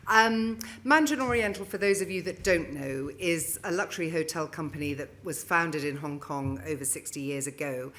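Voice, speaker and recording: A woman's voice: low voice, normal speed, hardly any pauses, a bit bright. A middle-aged woman speaking as a brand adviser on a conference panel, self-assured, telling a story in long flowing sentences into a handheld microphone. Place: conference stage.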